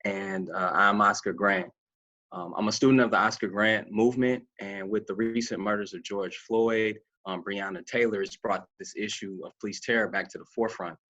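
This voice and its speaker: A man's voice: lowish voice, a bit bright, lo-fi sound. A man, a graduate student, giving a prepared academic talk as a panelist.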